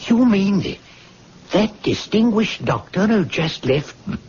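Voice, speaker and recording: An actor playing a concerned gentleman friend, hearty and a little halting, in old-time radio drama style. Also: sits high, very animated, breathy edge, exaggerated voice. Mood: shocked sympathy. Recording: dull, noisy old radio drama recording.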